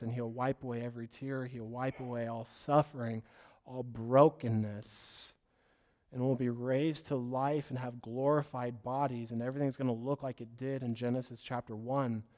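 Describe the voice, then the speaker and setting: A man preaching a sermon as pastor, hoarse from a cough, with a scratchy voice and an earnest, rambling way of talking. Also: mid-range voice, darkish tone. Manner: earnest, loses his train of thought and apologizes.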